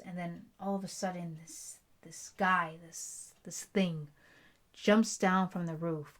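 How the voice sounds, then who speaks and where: A woman's voice: on the low side, natural voice. A woman playing a stubborn bride-to-be in an intimate ASMR roleplay, talking in a blunt, emphatic, rambling storyteller's way. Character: headstrong, stubborn bride-to-be, werewolf prince's future wife.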